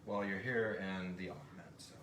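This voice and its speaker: A man's voice: sits low, moderate pace. A man hosting a casual live question-and-answer chat, reading out listener questions in a jokey way. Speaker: host and podcast creator reading listener questions. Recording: roomy, echoey live recording with background noise.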